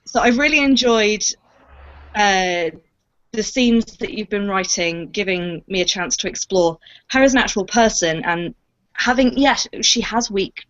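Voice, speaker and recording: A woman's voice: mid-range voice, lively intonation, a little slow, a bit bright, highs cut off. A woman who acts in audio drama, chatting reflectively and expressively about her work, stressing key words. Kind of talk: casual Q&A chat.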